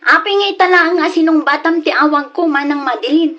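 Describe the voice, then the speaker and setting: A woman's voice: high voice, fast, a bit bright, faint music behind. A woman acting a pleading younger sister who cares for an ailing mother in a radio drama. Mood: pleading, worried. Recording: dull, lo-fi phone-call sound.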